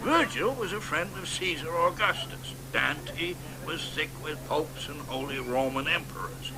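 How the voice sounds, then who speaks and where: An actor playing a boastful, crude old American poet in a radio drama, drawling and dropping into hoarse whispers. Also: male voice, a little high, expressive, measured pace, noisy background.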